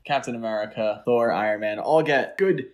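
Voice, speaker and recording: Easygoing man with mid-pitched voice. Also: moderate pace. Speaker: podcast co-host. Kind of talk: casual chat, film discussion.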